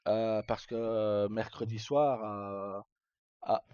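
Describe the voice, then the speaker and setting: A man's voice: on the low side, steady tone, slow, dark tone, clean tone, no room sound. A middle-aged man talks casually on a livestream in an informal, rambling and hesitant way. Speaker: live streamer. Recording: dull, lo-fi sound.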